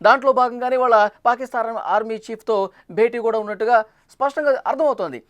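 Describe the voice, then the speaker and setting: A woman's voice: fairly high pitch, fast talker. A woman anchoring a news discussion, setting out the background and putting questions to an analyst.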